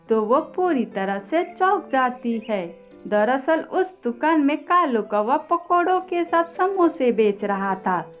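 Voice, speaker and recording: A woman's voice: sits high, muffled recording. A woman narrating a scripted children's story as a storyteller.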